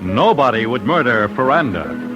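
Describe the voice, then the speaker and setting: A man's voice: mid-range voice, sing-song, normal speed, low-quality recording. A man narrating an old-time radio crime show in a punchy, clipped, suspenseful way. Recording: old radio broadcast with music bed.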